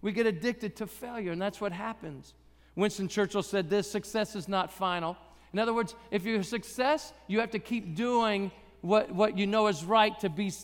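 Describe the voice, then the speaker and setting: A man preaching a sermon in a church with a raspy voice, talking conversationally with self-deprecating humor. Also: high-pitched, fairly bright tone, big-room sound. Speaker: guest preacher.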